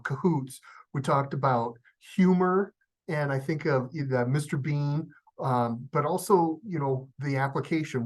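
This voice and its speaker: A workshop facilitator reflecting on the discussion so far, thoughtful and searching for words. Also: mid-range voice.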